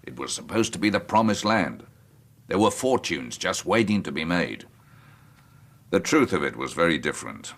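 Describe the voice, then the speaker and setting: An actor in a radio drama playing a gruff, ailing, bitter old man with a gravelly, weary, deliberate delivery. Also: low-pitched, very animated, a bit bright, exaggerated voice. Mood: bitter, resigned. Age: older.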